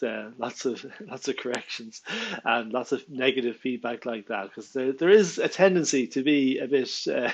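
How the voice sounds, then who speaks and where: A man's voice: mid-range voice, gravelly, muffled recording. An Irish man giving a casual spoken lesson on writing in Irish, chatty and often laughing, with plenty of ums and uhs. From Ireland. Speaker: Irish language teacher.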